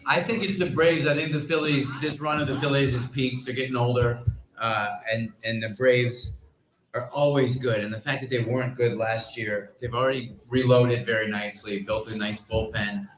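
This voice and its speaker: A man in his forties speaks as a sports panelist in a reverberant live room. His pitch is average and his delivery is measured, with wry hedges. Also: steady tone, fairly bright tone. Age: 40s. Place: live convention panel on stage.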